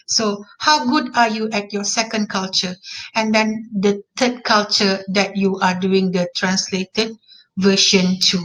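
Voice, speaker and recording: A woman's voice: fairly high pitch, bright, forceful tone, rough recording. A woman with a Malaysian accent, a native Malay speaker, giving an online class lecture and repeating key terms for emphasis.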